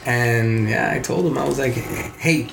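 A man's voice: unhurried, creaky, noisy background. A man with a Puerto Rican accent, a podcast guest telling a story casually, with many restarts and voiced quotes of others. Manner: rambling with frequent restarts, voices others in quotes with higher pitch.

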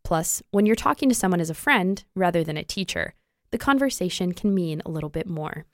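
A woman narrating a news feature in a measured, scripted delivery. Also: lowish voice, lively intonation, no echo, studio quality.

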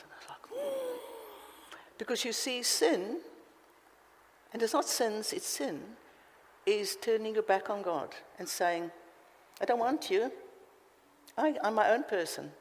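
A woman's voice: mid-range voice, sing-song, measured pace, long pauses, slight background noise. A woman with a South African accent preaching a passionate, emphatic sermon. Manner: passionate, emphatic, with sudden loud lifts. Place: church hall. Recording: reverberant hall.

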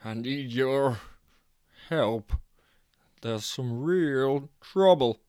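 A man's voice: medium pitch, drawn-out words, a little mellow, smooth, no room sound, studio quality. A man voicing a brash, boastful adult man who swears and talks in a folksy drawl. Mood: fretful.

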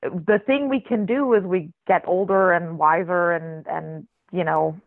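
A writer raised in Israel with a flat American accent, speaking as an interview guest in a thoughtful, searching way with many restarts. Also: medium pitch, measured pace, no room sound. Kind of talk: reflective conversational interview. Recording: tinny phone-line recording.

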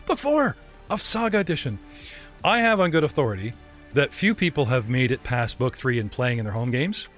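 A playful man runs a tabletop game as game master, rambling and joking with his players and stumbling over restarts. His voice sits at an ordinary pitch. Faint music plays underneath. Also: a bit bright. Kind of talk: running a tabletop game online.

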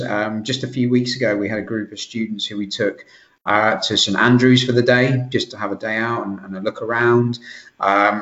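A man from London with a London English accent, chatting in a relaxed way in a panel discussion about university outings. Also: lowish voice. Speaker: university recruitment staff. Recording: echoey room, dull lo-fi sound.

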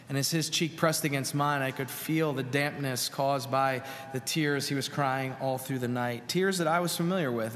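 A middle-aged man, a Presbyterian pastor, preaching a sermon in a church with earnest storytelling, pausing and repeating words. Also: medium pitch, slight background noise. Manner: earnest storytelling with pauses and repetitions. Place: church sanctuary. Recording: long hall reverb.

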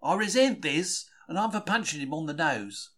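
A man's voice: a little high, animated, moderate pace, bright, forceful tone. A man with a British accent reads a diary aloud as scripted first-person narration, telling it in a wry, colloquial way with old-fashioned slang. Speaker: reader of a diary for a podcast.

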